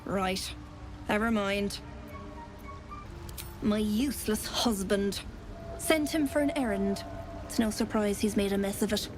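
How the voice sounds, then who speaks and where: An actress playing a grumbling, chatty gnome wife in a game, talking quickly and scornfully. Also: female voice, mid-range voice, over music, natural voice, low-quality recording.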